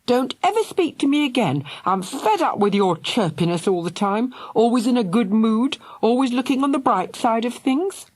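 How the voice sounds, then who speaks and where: Woman doing a furious little brother in a storybook, sneering, husky, pitch jumping wildly. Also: sing-song, normal speed. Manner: snarling, shouting insults.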